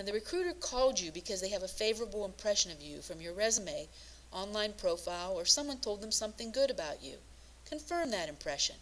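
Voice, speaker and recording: A woman narrates a scripted career lesson as a coach and presenter. Her pitch sits in the middle range for a woman. She speaks in a measured, instructive way, like someone walking listeners through prepared points. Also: fairly bright tone, rough recording.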